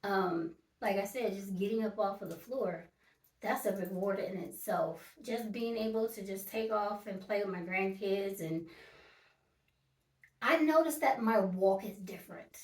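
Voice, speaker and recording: A woman's voice: medium pitch, measured pace. A woman in her early fifties talking casually and directly to her viewers, emphatic and repeating her points. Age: 50s. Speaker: vlogger. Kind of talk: casual talk to camera. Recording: echoey room, mic at a distance.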